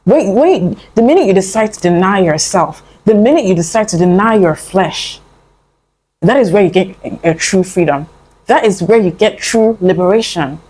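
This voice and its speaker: A woman's voice: medium pitch, lively intonation, moderate pace, a little mellow, husky. A young adult woman vlogging a casual motivational talk, earnest and coaxing, rambling with many fillers and restarts as she pleads with her listener. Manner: earnest, coaxing, calls the listener "my love" and "honey".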